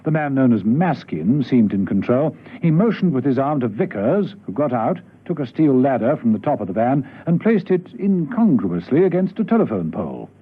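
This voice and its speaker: A man's voice: mid-range voice, very animated, moderate pace, few pauses, husky. A man narrating a spy serial in a dramatic scripted radio style. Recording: old radio broadcast, phone-like band, faint music.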